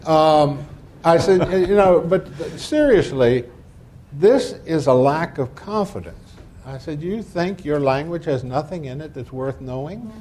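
A man's voice: a little high, expressive, unhurried, warm tone, a little hiss or hum. An older man on a panel answering an audience question, telling anecdotes with wry asides and acting out quoted exchanges. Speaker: panelist, former diplomat. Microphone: panel microphone.

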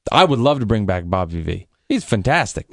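A man's voice: on the low side, sing-song, brisk, creaky, fairly bright tone, dry recording. A man hosting a sports radio show from a studio, teasing his co-host in playful casual banter. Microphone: studio microphone at a mixing board.

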